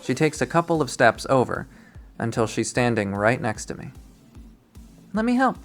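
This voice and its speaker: A man's voice: mid-range voice, normal speed, creaky, soft background music. A young man reading a visual novel aloud in first person, with a dry, wry delivery.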